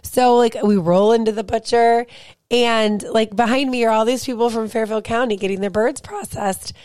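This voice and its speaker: Hoarse, husky woman podcast co-host; chatty storyteller, dry booth sound. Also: fairly high pitch, steady tone, moderate pace, darkish tone, no room sound. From Ohio. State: hoarse, losing her voice. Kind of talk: casual chat.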